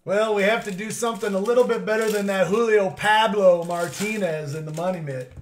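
A man's voice: high voice, slight background noise. A young man hosting a live trading card break, joking with viewers over player names and calling out pulls. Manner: playful, joking with viewers over name pronunciations; drawn-out hype calls of big pulls. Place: indoor studio at a table.

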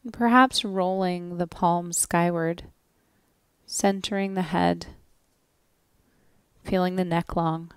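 A woman leading a guided relaxation as a yoga nidra instructor, speaking gently and soothingly with long pauses. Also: lowish voice, steady tone, smooth, no echo.

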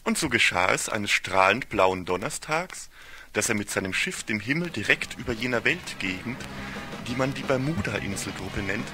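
A man's voice: fairly low pitch, lively intonation, normal speed, pushed and bright, soft background music. A man narrating a literary audio story in a solemn, ornate fairy-tale storytelling manner.

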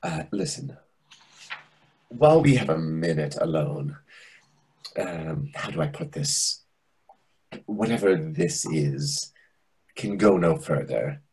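A man's voice: a bass voice, sing-song, slow pace, gravelly, pauses often, a little mellow, breathy edge, full character voice. A man in his thirties playing a dramatic, flustered, camp waiter in a play reading over a video call, indignant and arch. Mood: reproachful, indignant. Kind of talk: readers theatre play reading.

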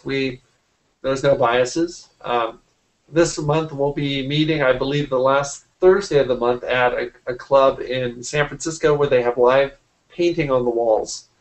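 Man chatting casually, halting and rephrasing. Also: medium pitch, rough recording. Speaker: interview guest, artist and art teacher. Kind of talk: casual interview conversation. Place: small indoor room at home. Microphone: computer mic on a video call.

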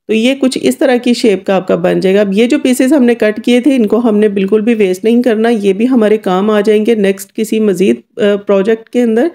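A woman's voice: on the high side, dark tone. A woman giving an informal, chatty step-by-step DIY voice-over as a tutorial host. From Kashmir.

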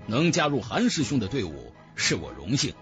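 Man playing a low, calm young hero. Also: moderate pace, soft background music. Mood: polite. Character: calm, self-assured young male cultivator hero. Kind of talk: audiobook character voice.

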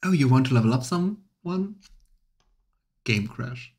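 A man's voice: mid-range voice, expressive, measured pace, a little mellow. A man in his thirties playing a game on stream, commenting and reading its dialogue aloud.

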